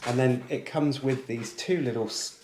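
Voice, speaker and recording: A man's voice: mid-range voice, normal speed. A man presenting a casual product unboxing, chatting informally with British slang. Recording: echoey room, faint background noise.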